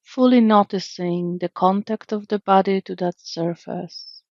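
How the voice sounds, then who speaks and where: A woman leading a guided meditation in a gentle, soothing, unhurried way with long pauses, in a slightly dull recording. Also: mid-range voice, no room sound. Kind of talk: guided meditation, slow calm narration.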